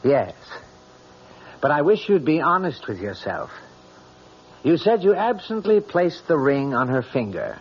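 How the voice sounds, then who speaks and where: A man acting in an old-time radio drama as a probing, skeptical confidant, husky, measured and knowing. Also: fairly high pitch, sing-song, exaggerated voice. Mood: gently challenging. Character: probing, skeptical male confidant, gently needling. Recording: dull, muffled radio drama recording.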